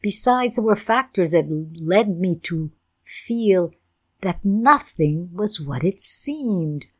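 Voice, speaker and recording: An older woman with a low voice tells her own story, taking her time. Her first language is Ukrainian, and she speaks in a measured, thoughtful way, unhurried from phrase to phrase. It is a calm personal recollection. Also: husky. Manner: slow, deliberate, reflective telling. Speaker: speaker telling her family history.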